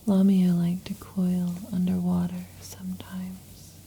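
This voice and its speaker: A possessive snake-woman lamia, played by a woman whispers intimately into a close mic with a gravelly, low, mellow tone. She teases slowly, coiling around the listener in an ASMR roleplay. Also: completely flat delivery, slight background noise. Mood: teasing whisper. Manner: soft intimate whispering, teasing. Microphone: close sensitive mic.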